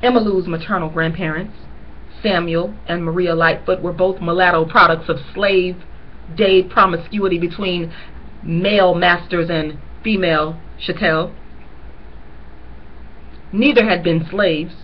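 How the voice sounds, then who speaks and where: A woman's voice: on the low side, steady tone, lo-fi sound, a little hiss or hum. A woman reading a novel aloud chapter by chapter. She stops now and then to point out important lines to her listeners in an earnest voice. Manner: earnest, pauses to stress key lines to listeners. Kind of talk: reading aloud with personal commentary.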